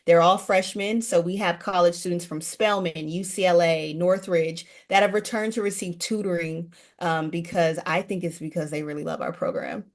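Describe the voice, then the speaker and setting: A woman guest running a language program, chatting earnestly in a casual interview. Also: fairly low pitch.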